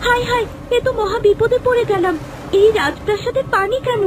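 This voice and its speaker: A woman's voice: extremely high pitch, brisk, background noise. A woman voicing a devoted young mermaid in human guise, earnest and polite, in a fairy-tale cartoon. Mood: worried. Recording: voice under clear background music.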